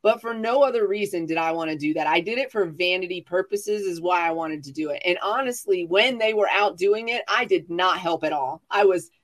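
A man's voice: high voice, a little fast, hardly any pauses, a bit bright. A man in his forties telling casual comic stories as a chat show guest, sassy, dramatic and self-mocking. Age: 40s.

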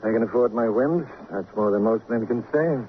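A man's voice: on the low side, normal speed, natural voice. Man acting a glib eccentric lawyer, tinny radio sound. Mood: smug. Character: glib, eccentric, well-paid lawyer, smugly evasive. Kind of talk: mid-century radio crime drama acting. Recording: tinny, hissy old radio recording.

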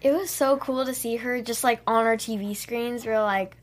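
Gushing teenage girl, fairly high voice. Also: fairly even intonation, moderate pace. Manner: youthful, gushing, frequent "like". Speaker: young dancer, interview guest. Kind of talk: casual chat.

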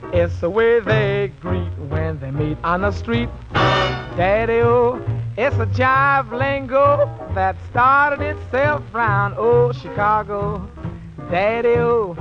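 A woman singing a jive swing number in the style of vintage radio, with slangy phrasing. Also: a little high. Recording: dull vintage radio recording. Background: swing band music.

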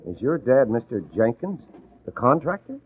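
A man's voice: medium pitch, very animated, normal speed, slightly rough. Cocky old-time radio newspaperman. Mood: folksy, probing. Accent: American. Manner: brash, slangy. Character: cocky, wisecracking male newspaper reporter. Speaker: radio drama actor. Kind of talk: classic American radio drama acting. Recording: tinny, noisy old radio recording.

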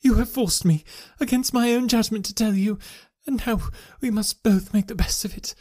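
A man voicing a Victorian wife in a high, falsetto-like voice, imploring and firm. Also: moderate pace, darkish tone. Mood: proud. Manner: imploring, then proud and firm. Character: proud, pleading Victorian wife, woman.

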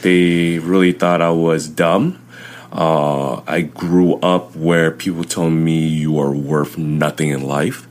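A young man from Southern California whose first languages were Chinese and Vietnamese, telling his life story haltingly as an interview guest. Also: a bass voice, level tone, darkish tone. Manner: plain, halting storytelling with many fillers. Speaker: guest, CrossFit coach.

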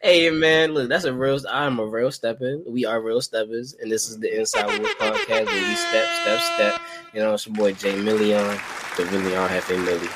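A man's voice: fairly low pitch, steady tone, moderate pace, over a noisy crowd, heavy background noise. A young man co-hosting a podcast in casual comedic chat, joking around with playful chants. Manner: joking, playful chants and sudden loud bursts. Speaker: podcast co-host.

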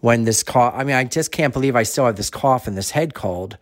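A man describing his recent illness in a halting, earnest interview clip, still getting over a cough and head cold. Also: mid-range voice. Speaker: interviewee, recovered patient.

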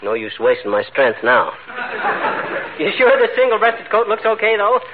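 An actor playing a cocky, folksy wisecracking husband with an American accent in a 1940s radio comedy. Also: male voice, high-pitched, huge pitch swings, normal speed. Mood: breezy, fussing. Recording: tinny, noisy old radio broadcast.

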